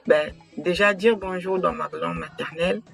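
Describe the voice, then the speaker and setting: A woman's voice: fairly low pitch, fairly even intonation, moderate pace, creaky, faint music behind. A middle-aged woman from Congo, addressing the camera in an earnest, emphatic way and repeating words as she searches for them. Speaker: association founder presenting her channel. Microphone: camera mic, speaking straight to camera.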